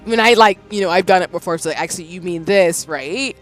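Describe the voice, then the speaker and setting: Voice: medium pitch, quick pace, faint music behind, rough recording. A streamer in a casual stream chat who rambles and corrects herself mid-sentence. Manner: chatty, rambling, self-correcting.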